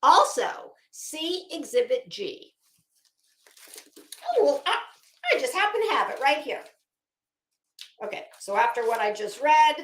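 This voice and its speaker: A woman's voice: on the high side, roomy sound. A woman giving opinionated, casual live commentary as a host, blunt, combative and emphatic, with free swearing.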